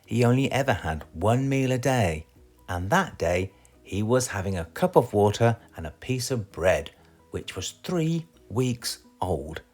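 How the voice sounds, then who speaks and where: A man's voice: on the low side, big pitch swings, a little slow, darkish tone, soft background music. A man with a British accent reading a children's story aloud as a storyteller.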